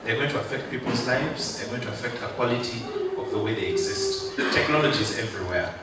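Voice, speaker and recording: A man's voice: mid-range voice, expressive, fast. Man giving a rousing speech in an echoey hall. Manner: rousing, joking, call-and-response with the audience. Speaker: guest speaker. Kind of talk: motivational graduation speech. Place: large hall at a ceremony. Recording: echoey hall, mic far off, background noise.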